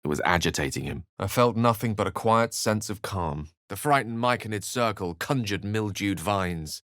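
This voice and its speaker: A man's voice: on the low side, moderate pace, a bit bright, dry recording, studio quality, close to an everyday voice. A man playing a refined, eloquent wizard in a video game, courteous and wry, with articulate, formal and theatrical speech. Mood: measured, grave. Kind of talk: video game voice lines and narration.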